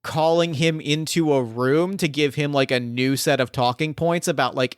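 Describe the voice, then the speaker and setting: A man improvising narration as a game master in a tabletop roleplaying game, haltingly thinking aloud as he builds each detail. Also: mid-range voice, clear, resonant tone, no echo, clean studio sound.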